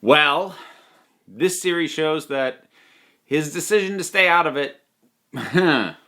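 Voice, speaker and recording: Bright-voiced, animated man reviewing. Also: fairly high pitch, takes it slowly. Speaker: audio drama reviewer. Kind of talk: conversational review commentary.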